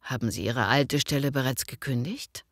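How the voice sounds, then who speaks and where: A woman's voice: rumbling low, normal speed, no room sound, studio quality. A woman acting a friendly, frank boss interviewing an applicant in a scripted language lesson, cordial and businesslike. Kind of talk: scripted language-learning dialogue.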